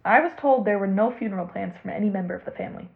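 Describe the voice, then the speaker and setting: An actress as a quick-talking female investigator, phone-like sound. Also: medium pitch, gravelly, husky. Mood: probing. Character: brisk, probing female investigator asking questions. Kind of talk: audio drama dialogue. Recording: narrow, phone-like band.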